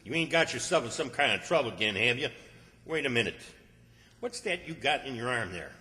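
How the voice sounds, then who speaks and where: A man's voice: mid-range voice, big pitch swings, fairly quick, sharp tone. A man acting a bossy, exasperated country fellow, scolding and commanding in an old-time radio comedy. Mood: suspicious. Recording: hall reverb, distant stage sound.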